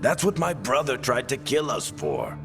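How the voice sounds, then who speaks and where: An actor playing a weathered middle-aged storyteller companion in a video game, speaking with dramatic, grave weight. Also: male voice, mid-range voice, huge pitch swings, moderate pace, vocal fry. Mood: urgent. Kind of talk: video game dialogue and narration. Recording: dramatic music behind. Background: music.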